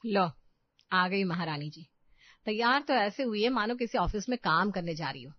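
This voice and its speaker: A woman performs a bossy housewife from a family storybook tale. She is haughty and quick to scold, in a mid-pitched voice. The sound is dry and a little dull. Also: lively intonation, no room sound, lightly acted. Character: bossy middle-class housewife, skeptical employer of a maid.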